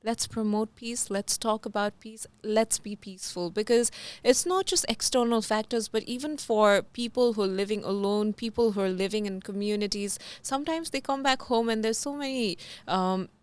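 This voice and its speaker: A young woman from Nepal, a pageant titleholder being interviewed, talking in an animated, earnest and proud way. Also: medium pitch, normal speed, smooth, no room sound. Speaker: podcast guest, pageant titleholder. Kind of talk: casual interview chat mixing languages.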